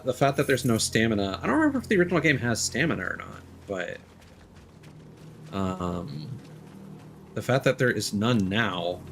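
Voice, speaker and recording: A man's voice: mid-range voice, fairly bright tone. A man streaming a game, rambling through live commentary and correcting himself often, with casual swearing. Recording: noisy background.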